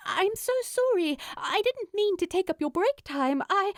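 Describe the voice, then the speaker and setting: A woman's voice: very high-pitched, lively intonation, unhurried, dry recording, clean studio sound, pitched above the performer's own voice. A woman voicing a shy, earnest, easily flustered woman who blurts and apologises in an audiobook story. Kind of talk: audiobook character dialogue.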